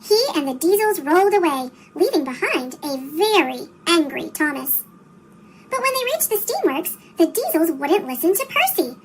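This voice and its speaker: A tiny, sped-up chipmunk voice narrates a children's storybook. It is extremely high and swings up and down with lots of animation. There is noticeable noise in the recording. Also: very animated, normal speed. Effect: sped-up chipmunk voice effect. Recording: clearly noisy recording.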